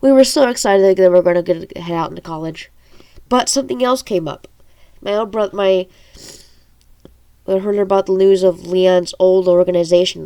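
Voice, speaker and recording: A woman's voice: lowish voice, creaky, a little mellow. Congested woman reading her story aloud. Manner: informal, stumbling over words. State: congested nose from allergies. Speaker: amateur audiobook reader and author.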